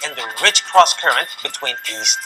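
Lively Korean-born male TV host. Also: fairly high pitch, normal speed, poor audio. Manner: lively, emphatic delivery with sweeping hand gestures. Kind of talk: scripted presenting to camera. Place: large indoor museum gallery. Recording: music bed under the voice.

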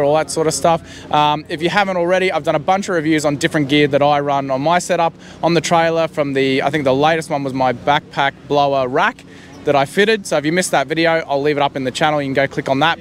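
An Australian man in his thirties hosting a chatty interview outdoors at an expo, agreeing often and talking quickly. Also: a little high, a bit bright. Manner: chatty, agreeable, frequent yeahs. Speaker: channel host interviewing exhibitors. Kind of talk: casual chat at a trade expo. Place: outdoor expo field by a marquee. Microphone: handheld mic, wide camera.

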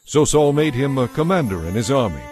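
A man narrating a dramatized scripture story in a measured storytelling manner. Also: medium pitch, sing-song, darkish tone, soft background music.

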